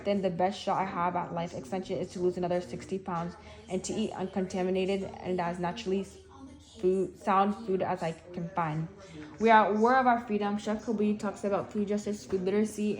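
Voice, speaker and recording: A teenage girl with a mid-pitched young female voice. She speaks haltingly and hesitantly, stumbling over the odd word as she reads aloud or answers. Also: a little mellow, poor audio. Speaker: guest reading an article aloud and answering questions. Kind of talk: halting read-aloud and shy interview answers.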